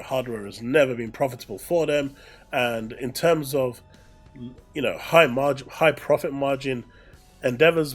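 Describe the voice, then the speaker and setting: A man with a mid-pitched voice works as a gaming news commentator, talking over faint background music. He moves between reading aloud and casual explanation, restating his points for emphasis. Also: slightly rough. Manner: explanatory, repeats phrases for emphasis.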